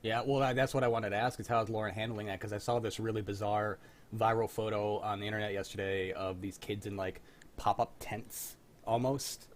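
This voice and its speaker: Man, lowish voice, casual chat host, faintly noisy online call. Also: level tone, brisk. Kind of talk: casual chat, asking questions.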